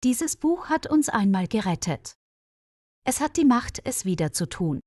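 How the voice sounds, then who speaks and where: A woman's voice: on the high side, very animated, unhurried, a little mellow, no room sound. A woman reads a courageous boy from a storybook, curious and earnest. The voice is recorded dry and sounds determined. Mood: defiant. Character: brave, curious boy hero facing a cult.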